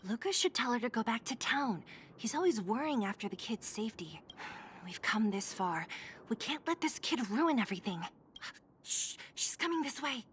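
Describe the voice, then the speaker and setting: A woman playing a cautious young adventurer in a video game, bossy but caring, speaking with hushed urgency. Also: a little high, big pitch swings, normal speed, a little hiss or hum. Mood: hushed, urgent.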